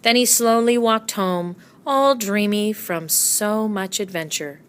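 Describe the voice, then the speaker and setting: A woman narrating a children's storybook aloud with expressive storytelling delivery. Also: medium pitch, slow, clear, resonant tone. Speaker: storybook reader.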